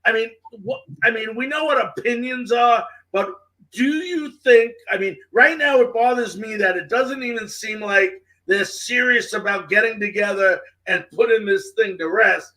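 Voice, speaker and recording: High-pitched man hosting a sports show. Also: moderate pace, pushed and bright, poor audio.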